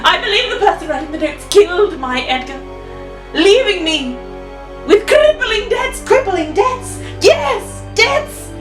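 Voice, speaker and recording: A frightened old widow cries out in a very high, shrill voice, as a woman puts her on. Every line comes out overwrought and pleading, with a roomy echo and music behind. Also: big pitch swings, a little slow, far from the mic, heavily acted. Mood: distraught.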